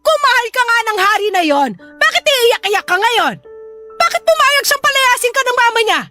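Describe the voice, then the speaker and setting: Shrill, scolding Filipino mother. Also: very animated, moderate pace, pushed and bright, full character voice. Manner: confrontational, blunt, berating. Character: harsh, hard-drinking, scolding mother of a grown daughter. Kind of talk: Filipino radio drama acting. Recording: music behind the voice.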